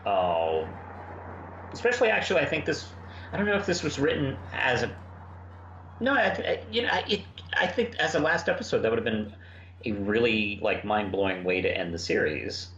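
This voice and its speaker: Halting, very deep-voiced man in a roomy recording. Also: sing-song, fairly bright tone. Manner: halting, hesitant, often restarting sentences. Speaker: podcast co-host reviewing cartoons. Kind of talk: casual review chat. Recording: roomy, muffled, noisy home recording.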